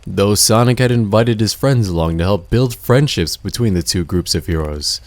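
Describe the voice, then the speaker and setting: A man narrating a scripted adventure story in a measured, dramatic storybook manner. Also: fairly low pitch, expressive, darkish tone, no room sound.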